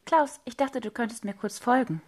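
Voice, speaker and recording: A woman's voice: fairly high pitch, moderate pace, darkish tone. A woman in her forties giving a staged, playful performance, teasing and at times dropping to a whisper. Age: 40s.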